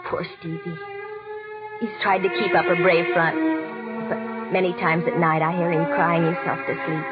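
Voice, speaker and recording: A woman's voice: on the low side, level tone, normal speed, close to an everyday voice. An actress playing a regretful, tender young American wife and mother, earnest and emotive in 1940s radio drama style. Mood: sad, reflective. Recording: dull old radio broadcast, loud music underneath.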